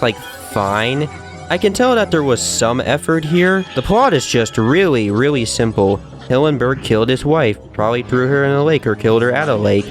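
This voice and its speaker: A man giving casual opinion commentary in an earnest, emphatic way, often repeating points for stress. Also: lowish voice. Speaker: video commentator. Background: background music.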